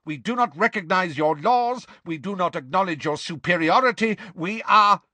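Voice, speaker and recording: A man's voice: high-pitched, expressive, moderate pace, sharp tone, no room sound, pitched above the performer's own voice, exaggerated voice. A man voicing a proud, enraged male centaur in a storybook, haughty and bellowing. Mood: proud fury.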